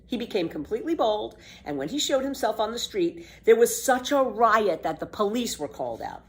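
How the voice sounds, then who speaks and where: Boastful, carefree little girl, acted by a woman, storybook tall-tale teller, emphatic, very animated, raised pitch. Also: moderate pace. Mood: excited. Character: bold, boastful, exuberant little girl telling tall tales. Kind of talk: children's storybook read-aloud.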